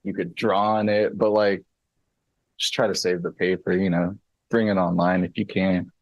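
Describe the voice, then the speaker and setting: A man's voice: sits low, level tone, normal speed, no room sound, dull audio. A teenage boy giving a casual student presentation, loose and full of filler words. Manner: casual, lots of fillers, slangy.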